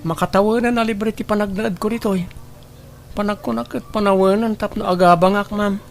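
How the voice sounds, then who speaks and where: A woman's voice: mid-range voice, fairly even intonation, fairly quick, faint music behind, natural voice. A woman playing a timid, hard-working youngster in a radio drama, speaking in a deferential, pleading manner. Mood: respectful, shy. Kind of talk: Ilocano radio drama acting.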